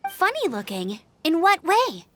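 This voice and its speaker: A woman's voice: extremely high pitch, huge pitch swings, unhurried, a bit bright, slight background noise, exaggerated voice. An actress playing an eager, feisty young female knight scout in an anime-style video game, peppy and determined. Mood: curious, determined.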